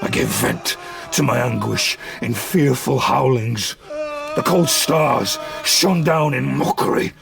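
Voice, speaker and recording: Slow, gravelly, anguished monster. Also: male voice, medium pitch, crowd noise. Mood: bitter anguish. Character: wretched, vengeful male man-made monster, lonely and bitter. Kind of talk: gothic audio drama acting.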